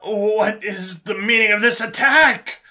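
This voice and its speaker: A man's voice: mid-range voice, normal speed, pushed and bright. Man as a stiff, indignant furry alien prisoner. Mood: outraged, alarmed. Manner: stiffly formal, indignant, hissing when upset. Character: stern, proud furry male alien prisoner, easily horrified. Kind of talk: storybook character voice in narrated sci-fi tale.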